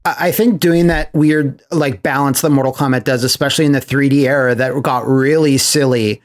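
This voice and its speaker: A man's voice: medium pitch, fairly even intonation, a little fast. A man co-hosting a podcast, chatting casually and animatedly about movies, often acting out imagined reactions. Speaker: podcast co-host.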